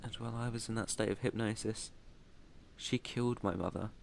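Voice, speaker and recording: A low, even British male voice as a man acts out a protective vampire master in an ASMR roleplay. He is soft-spoken and deliberate, haunted but tender, keeping his pitch in a narrow band. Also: gravelly, background noise. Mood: haunted, solemn. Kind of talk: intimate ASMR roleplay monologue.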